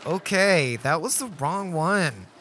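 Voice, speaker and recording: An actor playing a relentlessly chirpy, pedantic cashier in a comedy radio play, rattling through scripted prompts. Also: male voice, a little high, lively intonation, slow, noisy background. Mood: polite, passive-aggressive.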